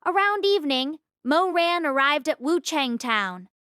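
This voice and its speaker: A woman reading a novel aloud as an audiobook narrator, in an expressive storytelling style. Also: sits high, unhurried, dry recording, studio quality. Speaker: audiobook reader.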